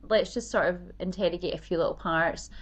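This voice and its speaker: A woman with a Glaswegian accent hosting a podcast, asking questions in a chatty, curious way with soft fillers. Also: on the low side, steady tone, fairly quick. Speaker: podcast host. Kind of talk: casual interview chat.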